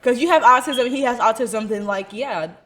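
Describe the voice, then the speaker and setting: A woman's voice: a little high, moderate pace, fairly bright tone. A young woman hosting a reaction video, talking casually and sounding exasperated, often laughing. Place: cold room at home.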